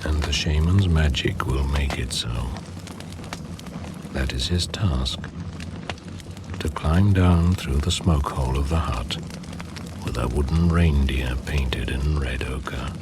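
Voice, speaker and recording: Extremely deep, grave mythic storyteller. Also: male voice, steady tone, music in the background. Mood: portentous. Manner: grave, measured, portentous. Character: grave mythic storyteller of ancient ice-age ritual. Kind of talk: 1980s radio drama, poetic scripted narration.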